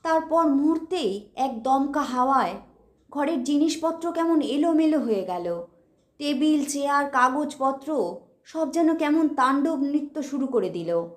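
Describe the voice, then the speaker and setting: A woman narrating a dramatic scripted audio story in a measured, expressive way, with deliberate pauses. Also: high voice. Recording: roomy, reverberant voice track.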